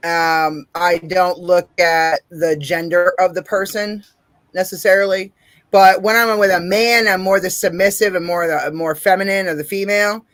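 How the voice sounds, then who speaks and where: A woman with a deep voice hosting a casual interview, blunt and profane, laughing often. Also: steady tone, normal speed, a bit bright, no echo. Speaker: podcast host.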